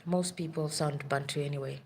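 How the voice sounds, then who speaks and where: A woman's voice: low voice, steady tone, moderate pace, gravelly, darkish tone, a little hiss or hum. A woman who works as a nurse, telling a long story in a rambling, urgent way on a live stream. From Africa.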